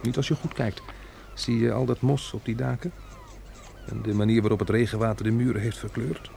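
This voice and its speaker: A man's voice: medium pitch, fairly even intonation, fairly quick, dark tone, a little hiss or hum. Een man die in een hoorspel een vermoeide, prikkelbare overlever op een belegerde boerderij speelt, met een rauwe, hese stem. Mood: dry. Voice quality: gravelly, husky. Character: weary, irritable male survivor running a besieged farmstead. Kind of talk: radio drama acting with diary narration.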